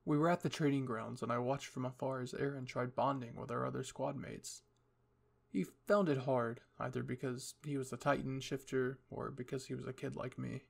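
A man's voice: mid-range voice, fairly even intonation, normal speed, gravelly. A man reading a scripted story in the first person as its narrator. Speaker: fan fiction reader.

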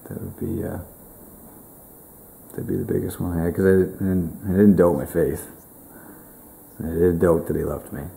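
A man in his twenties telling a personal story in a halting, emotional way, with many restarts, in a living room. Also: low-pitched, animated, gravelly, dark tone, a little hiss or hum, poor audio. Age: 20s. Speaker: grieving brother. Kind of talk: personal testimony.

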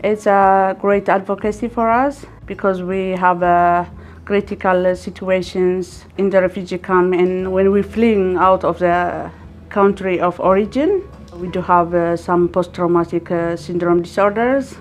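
A woman's voice: lowish voice, steady tone, unhurried, soft background music. Young woman from Ethiopia, earnest, slightly hesitant, lapel mic. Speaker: advisory board member.

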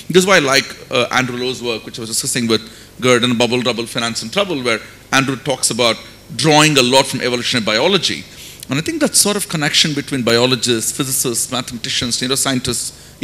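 A middle-aged man with an Indian accent speaks emphatically as a panelist, posing rhetorical questions into a handheld mic on a conference stage. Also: pushed and bright. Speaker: panelist, finance academic. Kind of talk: panel discussion remarks. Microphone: handheld microphone. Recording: faint noise and low rumble.

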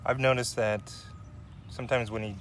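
A man's voice: mid-range voice, fairly even intonation, normal speed, fairly bright tone. A man in his forties cooking outdoors in autumn woods, chatting casually and thinking aloud with fillers and self-deprecating asides. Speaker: outdoor vlogger. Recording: outdoor recording with clear background noise.

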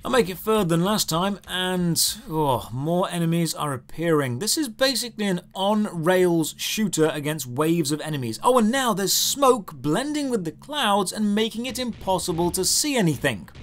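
A man's voice: high voice, lively intonation, normal speed, few pauses. A man narrating a scripted comedic video game review in a sarcastic, exasperated, emphatic way.

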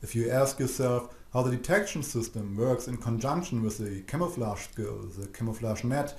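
A man's voice: medium pitch, moderate pace, roomy sound. A man reading scripted instructional narration for a video game tutorial.

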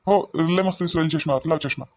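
A man's voice: on the high side, quick pace, a little mellow, no echo, natural voice. A man with a Varhadi Marathi accent voicing a doting, coaxing young village husband in a comedy cartoon. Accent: Varhadi (Vidarbha) Marathi.

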